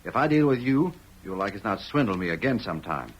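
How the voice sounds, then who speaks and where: A man's voice: fairly low pitch, very animated, quick pace, lo-fi sound. An actor playing a shrewd male Old West homesteader in rural American country speech, in 1940s radio style on an old recording. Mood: wary, stubborn.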